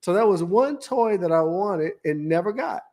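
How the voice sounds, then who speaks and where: A man, co-hosting a podcast in a relaxed, joking way and telling stories at length. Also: sits high. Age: 50s. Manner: easygoing, joking, drawn-out storytelling. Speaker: podcast co-host. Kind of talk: casual chat.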